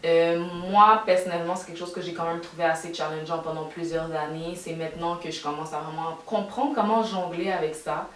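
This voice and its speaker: Young québécoise woman on a podcast, low voice, kinda far from the mic in an echoey room. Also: steady tone, fairly quick, few pauses, slight background noise. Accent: Québécois French. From Montreal, Quebec, Canada. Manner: reflective, many fillers and restarts. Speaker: podcast co-host. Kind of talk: casual podcast chat.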